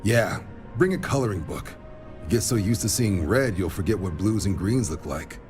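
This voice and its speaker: An actor playing an easygoing, wry military recruiter officer in a video game, talking in a casual, colloquial way. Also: male voice, lowish voice, expressive, normal speed, music in the background, natural voice. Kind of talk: video game cutscene acting.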